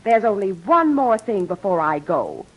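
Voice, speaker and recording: A woman's voice: mid-range voice, sing-song, a little slow, a little hiss or hum. An actress playing an indignant young woman author, cutting and theatrical, in old-time radio acting. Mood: furious. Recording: dull old radio recording.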